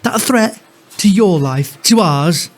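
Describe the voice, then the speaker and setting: A man's voice: high voice, expressive, a little slow. A man playing a furious, protective father in an audio drama, confrontational and sweary as he disowns his son.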